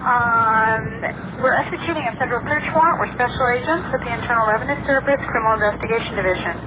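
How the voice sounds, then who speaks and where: A woman's voice: on the high side, fast. An American woman answering an office phone courteously, as a federal agent taking messages. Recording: tinny, noisy phone line over shortwave.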